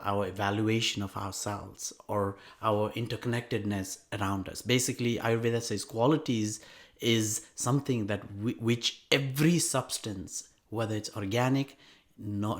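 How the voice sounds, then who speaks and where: A man speaking as a guest expert, explaining things conversationally in a halting way with repeated words. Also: lowish voice. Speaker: guest expert, Ayurvedic doctor.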